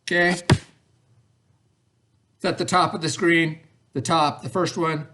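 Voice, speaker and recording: A man's voice: fairly high pitch, steady tone, unhurried, background noise. A middle-aged man teaching children in a conversational way, often checking understanding with questions and repeating himself. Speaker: elementary school teacher. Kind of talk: read-aloud lesson for children, casual explaining. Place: indoor room, remote video lesson. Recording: echoey room.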